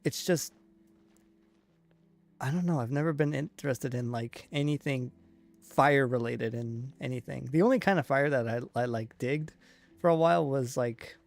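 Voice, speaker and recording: Gravelly-voiced man casually streaming a game. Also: medium pitch, no room sound. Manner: rambling, halting, thinking aloud. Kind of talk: casual live stream commentary on a video game.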